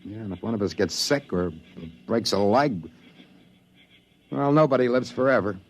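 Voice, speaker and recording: An actor playing a plain-spoken, rugged and fatalistic member of a stranded expedition, in mid-century radio drama style. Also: male voice, fairly low pitch, animated, moderate pace, a little hiss or hum. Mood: resigned.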